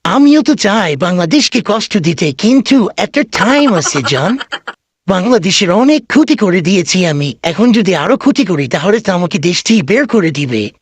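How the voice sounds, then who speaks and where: A man's voice: sits high, sing-song, normal speed, breathy edge, no echo, exaggerated voice. A man voicing a fawning, besotted old man in an amateur comic cartoon, wheedling and placating as he talks. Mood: pleading, coaxing.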